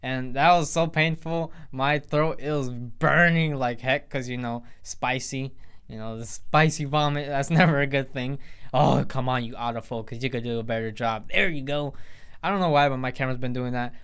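A man's voice: mid-range voice, normal speed, fairly bright tone, smooth. A teenage boy telling a storytime vlog to camera in a casual, rambling way, full of fillers and restarts. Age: teens. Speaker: vlogger. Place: indoors in front of a plain grey backdrop.

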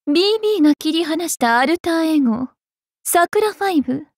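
Very high, serenely sinister temptress. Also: female voice, lively intonation, fairly quick, dry recording, studio quality. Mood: wistful menace. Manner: genteel, savouring words. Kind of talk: Japanese game character voice lines.

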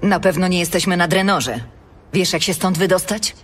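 An actress playing a proud, vigilant elven ranger captain in an audiobook drama, terse and resolute in manner. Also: female voice, lowish voice, fairly even intonation, normal speed, a little hiss or hum, lightly acted. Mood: alert, terse.

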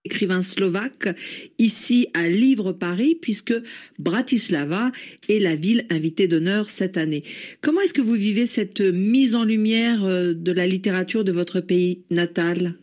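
A man interviewing an author on the radio, asking formal, measured questions. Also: sits high, a little mellow.

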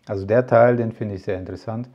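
A man's voice: on the low side, steady tone, quick pace, muffled recording. A man talking casually to camera as a tech vlogger, rambling with many fillers and asides. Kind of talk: casual product talk to camera.

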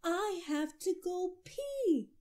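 A woman voicing a stubborn, loud little boy from a storybook, emphatic and given to yelling. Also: very high-pitched, very animated, drawn-out words, voice pushed up, exaggerated voice. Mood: urgent.

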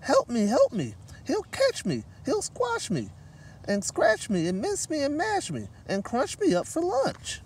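Man putting on a squeaky, frantic mother mouse. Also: wildly swooping pitch, a little slow, full character voice. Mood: panicked, pleading. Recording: distant microphone, light outdoor noise.

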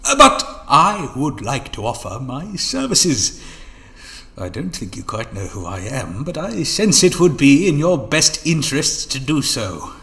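A man's voice: mid-range voice, huge pitch swings, a little slow, creaky, pushed and bright, full character voice. A man playing a mocking, theatrical villain schemer in an audio drama, silky and taunting in his florid delivery. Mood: persuasive, grandiose.

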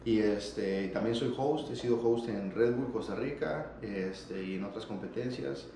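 A Mexican man with a Mexican Spanish accent, an event host chatting casually in a relaxed, slangy way with many fillers. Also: on the low side, a little slow, slight background noise. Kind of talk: casual chat.

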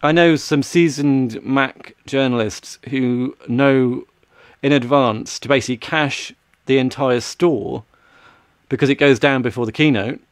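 A man's voice: mid-range voice, a little slow, no room sound. Man chatting about tech, close dry sound. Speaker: podcast panellist. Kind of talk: casual tech chat. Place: small home room with shelves.

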